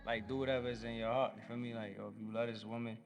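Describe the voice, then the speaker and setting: A man's voice: mid-range voice, flat delivery, rapid-fire, big-room sound, faint music behind. A man guesting on a radio show, talking casually in laid-back slang. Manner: laid-back slang, says "you feel me" a lot. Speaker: guest artist. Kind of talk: casual interview chat.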